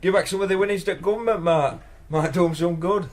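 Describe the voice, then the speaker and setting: A man's voice: sits high, quick pace, natural voice. An actor playing a teasing, blunt working man with a Northern English accent in a naturalistic radio drama. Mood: jovial ribbing.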